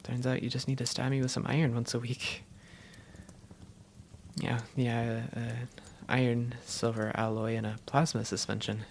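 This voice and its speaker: A woman's voice: rumbling low, level tone, moderate pace, a little mellow, slight background noise, voice pushed down. A woman playing an affectionate, teasing young vampire girlfriend in an intimate roleplay audio, playful and tender with her partner. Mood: tender, soothing.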